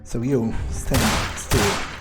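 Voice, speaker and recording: A man playing a cold, predatory vampire who thinks aloud in a halting, muttering way during a game. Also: mid-range voice, fairly even intonation, over loud music. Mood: cold menace. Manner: slow, halting, muttering to himself. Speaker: player role-playing a character. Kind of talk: in-character game playthrough monologue.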